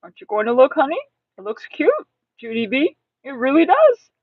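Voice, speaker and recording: A woman voicing a mild old grandfather in a storybook, gentle, placating and a little skeptical. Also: big pitch swings, a little fast, no room sound. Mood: coaxing. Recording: tinny, distant low-bandwidth recording.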